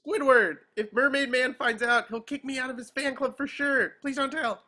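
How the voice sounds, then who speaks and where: An excitable, childlike cartoon sea sponge. The voice is high and bright, giddy and gushing, with an eager, pleading edge. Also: moderate pace. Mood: guilty, pleading. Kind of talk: cartoon script table reading.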